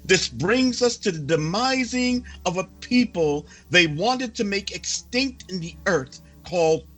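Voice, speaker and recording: A man delivers a solemn, sermon-like reading in a husky voice over music. His pace is slow and deliberate, with emphatic, formal oratory that suits a religious reader. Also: sits high, animated, fairly bright tone, low-quality recording.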